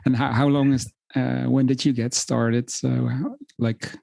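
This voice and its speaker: A male podcast host with a gravelly, fry-edged voice introduces his guest in an upbeat way. He often starts with "well" and calls things amazing. His pitch sits in an ordinary middle range. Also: flat delivery, measured pace, darkish tone.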